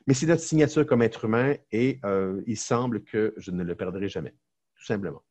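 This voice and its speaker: A middle-aged man with a Québécois French accent, a lawyer and entrepreneur chatting as a podcast guest, telling lively stories with many fillers and restarts. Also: lowish voice, normal speed. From Quebec, Canada. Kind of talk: casual interview chat.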